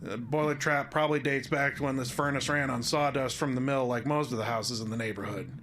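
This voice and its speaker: A man's voice: mid-range voice, fairly even intonation, fairly quick, fairly bright tone, slight background noise, lightly acted. An actor playing a wry, neighborly small-town handyman with a folksy, easygoing manner in an audio drama. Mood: friendly, folksy. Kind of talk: naturalistic radio drama acting.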